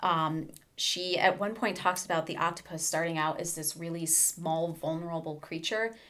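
Low-pitched woman explaining in an interview. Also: normal speed, a bit bright. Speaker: educator, podcast guest. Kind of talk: book discussion interview.

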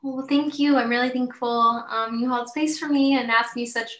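A woman's voice: a little high, steady tone, normal speed, fairly bright tone. An American woman in her thirties chatting enthusiastically as a guest author in an interview, often saying "like" and "kind of". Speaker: guest author on a book podcast. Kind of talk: casual interview chat. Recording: roomy echo.

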